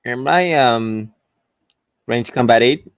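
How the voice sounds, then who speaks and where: A man with a mid-pitched, very clear voice talks casually as a tabletop game player. He speaks slowly, and the sound is dry. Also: no room sound.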